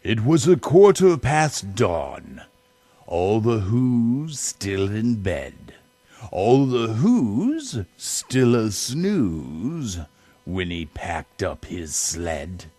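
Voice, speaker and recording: A man reads a children's story in a theatrical way, drawing out his phrases. His fairly low voice swings widely in pitch and swells for dramatic effect. The delivery is extremely slow. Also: wildly swooping pitch, warm tone, dry recording, faint music behind. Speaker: storybook reader.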